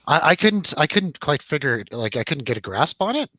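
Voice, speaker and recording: A man's voice: fairly high pitch, sing-song, normal speed, bright, forceful tone, no echo, muffled recording. A man hosting a casual film review chat, rambling and jokey, putting questions to his co-host. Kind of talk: casual chatty film discussion over drinks.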